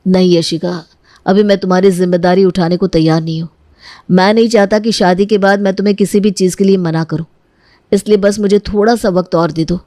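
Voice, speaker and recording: A woman's voice: lowish voice, steady tone, brisk, warm tone, no room sound, studio quality, natural voice. A woman voicing an earnest, evasive young man in a cartoon family story. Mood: earnest, firm. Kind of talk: cartoon moral-story dialogue.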